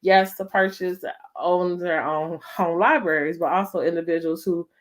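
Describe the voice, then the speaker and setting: A woman's voice: fairly low pitch, steady tone, normal speed. A woman with an American accent hosting a casual interview, talking conversationally with lots of "like" and "you know". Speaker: host of a family storytime interview.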